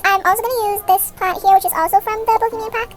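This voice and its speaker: A woman's voice: very high voice, fairly even intonation, fast talker. A young woman giving a casual step-by-step tutorial voice-over as the host of her own video. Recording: voice over background music. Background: background music.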